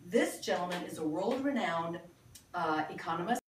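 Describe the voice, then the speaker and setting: Older woman, halting talk in an echoey room. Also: fairly low pitch, expressive, distant mic, slight background noise. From Philippines. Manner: halting, many fillers, earnest. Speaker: presenter at a sales meeting. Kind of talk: informal presentation. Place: meeting room.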